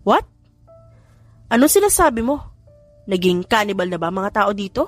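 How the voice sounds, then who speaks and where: A woman's voice: medium pitch, very animated, moderate pace, dry recording, faint music behind. A woman voicing a lovestruck young man in an audiobook, a playful and whiny son and suitor. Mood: teasing. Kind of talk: audiobook character dialogue.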